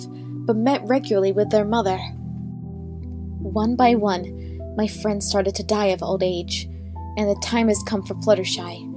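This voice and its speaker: A woman's voice: medium pitch, lightly acted. A woman playing a solemn, wistful pony heroine, narrating a scripted story. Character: solemn, wistful pony heroine recounting her friends' lives.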